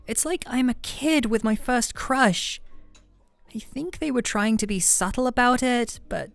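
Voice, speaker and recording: A woman's voice: on the high side, animated, unhurried, creaky, no echo, faint music behind. A woman playing a shy, flustered best friend with a hidden crush in an intimate audio roleplay, talking in a hesitant, teasing, conversational way. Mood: wry, weary.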